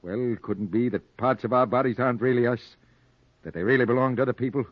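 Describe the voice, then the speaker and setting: An actor playing a folksy but menacing, unhinged police sergeant in a 1940s radio crime play. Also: male voice, mid-range voice, fairly even intonation, quick pace, no echo, close to an everyday voice. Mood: musing, unhinged. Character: folksy male police sergeant, secretly a deranged strangler. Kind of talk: 1940s radio noir acting. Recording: dull dry 1940s radio recording.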